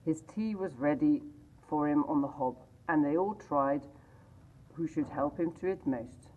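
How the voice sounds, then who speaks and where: A woman reading a classic story aloud in a measured, expressive way. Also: deep voice. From England. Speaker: library reader. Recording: tinny phone-like sound.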